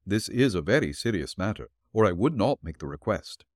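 A man voicing a calm, shrewd detective who questions dryly and evenly. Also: fairly low pitch, no echo. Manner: measured, dry questioning.

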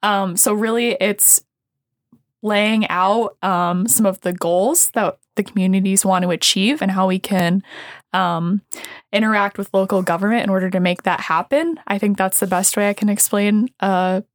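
A woman's voice: medium pitch, steady tone, moderate pace, no room sound, clean studio sound. A young woman from South Dakota, a summer intern, speaking thoughtfully in a casual interview with frequent ums. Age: young adult. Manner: thoughtful, many ums and uhs.

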